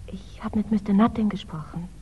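An actress playing an anxious, tender wife in a 1960s German radio crime drama, speaking hesitantly and with emotion. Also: female voice, medium pitch, brisk, slight background noise, lightly acted. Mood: anxious, subdued. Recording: dull, band-limited 1960s radio recording.

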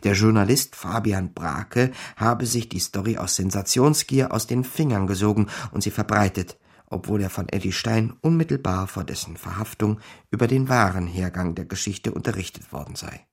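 A man's voice: on the low side, normal speed, breathy edge. An actor playing a wry small-time con man who tells his own story in a radio drama, dry and matter-of-fact. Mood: dry, satisfied. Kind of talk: scripted first-person radio drama narration.

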